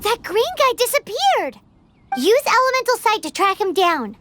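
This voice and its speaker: A woman voicing a tiny floating female companion, chatty and excitable, with a squeaky, exclamatory way of talking in a game cutscene. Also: moderate pace, background noise. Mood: excited.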